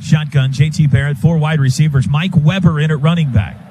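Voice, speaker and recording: A man's voice: on the high side, steady tone, a little fast. An American man calling football live on the radio in clipped phrases that follow the action. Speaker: radio play-by-play announcer. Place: stadium radio booth. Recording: loud stadium noise behind voice. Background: loud stadium ambience.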